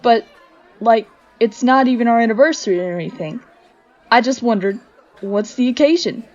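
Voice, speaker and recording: A woman's voice: fairly high pitch, lively intonation, normal speed, darkish tone, highs cut off, some chatter behind, close to an everyday voice. A woman voicing a bold, affectionate female monster with a casual, punchy delivery in a story reading. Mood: warm, playful. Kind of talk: dramatic fan fiction reading.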